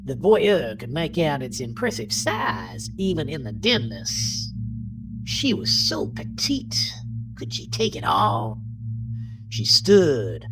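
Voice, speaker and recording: A woman with a very deep voice reads dramatic horror narration at an unhurried pace. Her delivery is theatrical and swoops between hushed lows and higher peaks, with music clearly behind her. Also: rough recording. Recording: music bed under the narration.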